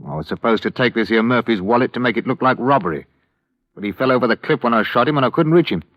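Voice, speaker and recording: An actor playing a surly, defiant small-time criminal with a British accent and slangy, gruff talk in an old radio crime drama. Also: male voice, medium pitch, fast, no echo, natural voice. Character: surly male small-time criminal, confessed hired killer. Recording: muffled, dry old radio recording.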